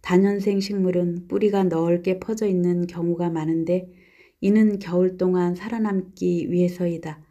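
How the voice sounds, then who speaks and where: A woman reads aloud from a nonfiction book in a calm, gentle, steady way. Her voice sits a little low and sounds mellow and warm, never sharp. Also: moderate pace. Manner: calm, gentle, even delivery.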